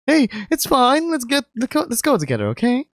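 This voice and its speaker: A man doing a bubbly, excitable big young guy. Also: extremely high pitch, brisk, no echo, clean studio sound, voice pushed up, full character voice. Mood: reassuring. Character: cheerful big young man, eager tour guide.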